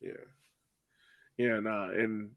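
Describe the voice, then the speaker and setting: A man hosting a sports podcast, recapping a match in casual, slangy, animated storytelling. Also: on the low side, drawn-out words. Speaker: podcast host.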